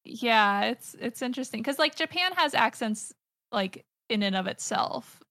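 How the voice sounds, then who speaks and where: An American woman talks casually as a podcast guest. She stops and restarts her sentences a lot, and her voice is gravelly and bright over a dry, close mic. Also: fairly high pitch, animated, a little slow, no room sound. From United States. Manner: hesitant, many fillers and restarts. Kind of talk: casual chat.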